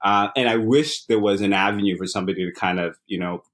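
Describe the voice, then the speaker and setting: A man with a very low voice tells his story slowly and thoughtfully. He is a guest in an interview, often pausing with fillers and repeating words as he gathers his thoughts. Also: a bit bright. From Uganda. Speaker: guest, startup founder and author. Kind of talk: relaxed interview conversation.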